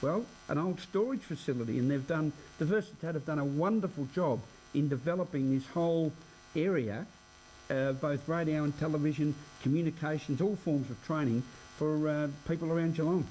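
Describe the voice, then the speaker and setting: An Australian man hosting a radio news show, interviewing in a conversational but formally phrased, halting way. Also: fairly high pitch, mellow, slight background noise, poor audio. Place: radio studio.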